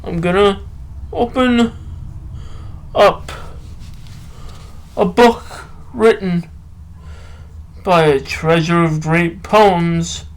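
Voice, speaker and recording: A man talking and reading poetry solo as a podcast host, halting, with drawn-out phrases and long pauses. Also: high voice, steady tone. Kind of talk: rambling solo talk and poem reading.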